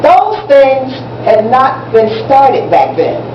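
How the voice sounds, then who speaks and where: A woman playing an excitable teacher in a stage play, emphatic and repetitive as she coaches students for a contest. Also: sits high, takes it slowly, exaggerated voice. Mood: excited, instructive. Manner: emphatic, repetitive, checks that listeners follow. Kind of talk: stage play dialogue, lecturing. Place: echoey room at a live public performance. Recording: echoey, distant, muffled room recording with noise.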